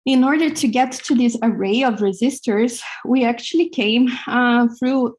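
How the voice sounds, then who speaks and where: A middle-aged woman with a Hebrew accent giving a university lecture in a large hall, halting with many fillers. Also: fairly high pitch, a little mellow. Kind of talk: classroom lecture.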